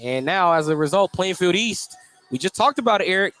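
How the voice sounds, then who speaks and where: Higher-voiced American man calling basketball. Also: expressive, a little fast, fairly bright tone, faint music behind. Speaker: play-by-play commentator. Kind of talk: live basketball radio play-by-play commentary.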